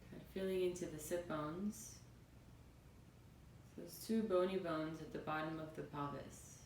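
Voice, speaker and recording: A woman yoga teacher leading a guided meditation in a soothing manner, with unhurried phrases and pauses. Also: fairly even intonation, darkish tone. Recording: roomy, distant mic, faint noisy rumble.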